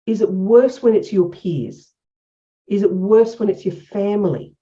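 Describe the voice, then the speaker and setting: A woman with an Australian accent leading an online workshop as a coach, energetic and encouraging, asking many questions. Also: mid-range voice, unhurried, highs cut off. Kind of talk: interactive online workshop teaching. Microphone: video call.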